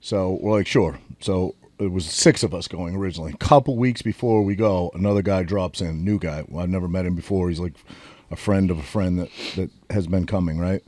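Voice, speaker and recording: A man's voice: sits low, brisk, a little mellow, no echo. A man, co-hosting a podcast and telling a rambling road-trip story with plenty of swearing. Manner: rambling, profane, storytelling with filler words. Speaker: podcast co-host. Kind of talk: casual storytelling chat.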